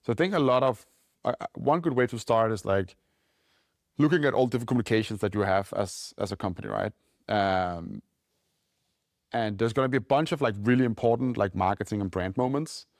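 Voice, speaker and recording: Fast-talking Danish-accented man, low voice. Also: no echo, studio quality. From Denmark. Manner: quick, loose talk full of "like" and "right?". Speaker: company founder guest. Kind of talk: casual podcast interview.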